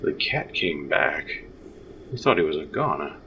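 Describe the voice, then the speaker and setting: A man's voice: a bass voice, big pitch swings, unhurried, bright, forceful tone, a little hiss or hum, heavily acted. A man playing a practical, patient frontier father with dry humor in an audio drama. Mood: matter-of-fact.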